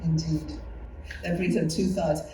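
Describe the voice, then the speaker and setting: A mellow-voiced interviewer guides a group discussion, thinking aloud and repeating words along the way. The voice is picked up from a distance in an echoey room with faint noise. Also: medium pitch, flat delivery, a little slow. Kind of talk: conversational oral history interview. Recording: roomy echo, distant mic, faint noise.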